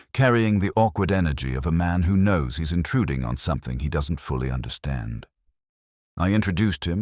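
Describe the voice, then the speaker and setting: A man reading scripted first-person mystery narration in a measured, literary, melancholy manner. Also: very deep voice, no room sound, clean studio sound.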